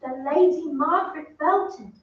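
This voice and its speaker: A woman's voice: high-pitched, normal speed, natural voice. An actress playing a melodramatic lady of a haunted English castle, breathless and dramatic, in old-time radio style. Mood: eerie relish. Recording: echoey stage, distant mic, muffled, faint noise.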